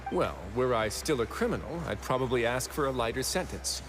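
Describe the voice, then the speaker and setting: A man's voice: mid-range voice, animated, fairly quick, music in the background, lightly acted. An actor playing a wry, self-assured male fortress warden in a video game, with dry, casual wit.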